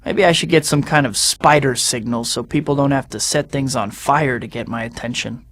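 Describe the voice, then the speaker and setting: A man's voice: medium pitch, moderate pace, a bit bright, big-room sound, natural voice. An actor voicing a young male superhero who tosses out sarcastic taunts in a video game. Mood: muttering. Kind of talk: game voice clips.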